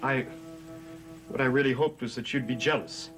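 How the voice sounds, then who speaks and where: A man's voice: medium pitch, moderate pace, over music, natural voice, poor audio. An actor playing an earnest, moody, lovesick young man in a gothic soap opera. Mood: confessing. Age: young adult.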